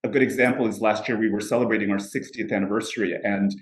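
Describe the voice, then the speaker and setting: A man speaking as a fragrance brand executive on a panel, measured and pausing with fillers. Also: on the low side, fairly even intonation, echoey room. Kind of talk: panel discussion answer.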